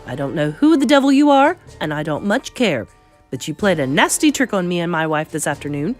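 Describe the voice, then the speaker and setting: A woman's voice: lowish voice, very animated, fairly quick, soft background music. A woman voicing a pompous, outraged middle-aged English father in a storybook, spluttering with indignation. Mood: angry suspicion.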